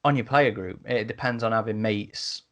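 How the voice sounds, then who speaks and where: A man's voice: on the low side, fairly even intonation, moderate pace, a bit bright. Man chatting casually, hesitant delivery. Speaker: podcast host. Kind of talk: casual podcast discussion.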